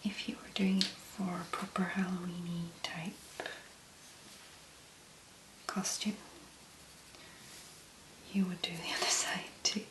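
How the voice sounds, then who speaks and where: A woman giving a soft-spoken ASMR make-up tutorial, mostly in a breathy whisper, murmuring unhurriedly in short phrases. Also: fairly low pitch, level tone, slow, long pauses, reverberant, low-quality recording. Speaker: ASMR host.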